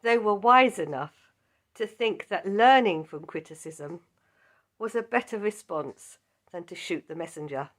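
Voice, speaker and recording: A woman leads a Bible study at an unhurried pace, her voice a little croaky. She speaks in a measured, earnest way, reading like a prepared lesson. Her pitch sits in an ordinary middle range. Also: lively intonation.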